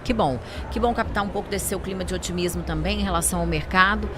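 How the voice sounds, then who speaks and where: A woman's voice: fairly low pitch, quick pace. A Brazilian Portuguese-speaking woman co-hosting a podcast in a casual, chatty interview style. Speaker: podcast co-host. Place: busy trade fair podcast booth. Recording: clearly noisy event floor with traffic-like ambience.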